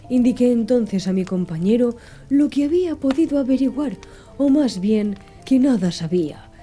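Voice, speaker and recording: A woman narrating an audiobook in a soft, measured ASMR style. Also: on the high side. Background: faint music.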